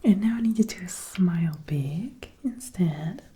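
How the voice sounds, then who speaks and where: A woman doing soft-spoken ASMR roleplay, murmuring and whispering up close into a binaural microphone. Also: fairly low pitch, animated, a little slow. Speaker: ASMR creator acting out a personal attention measuring roleplay. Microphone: close binaural microphone, ear to ear.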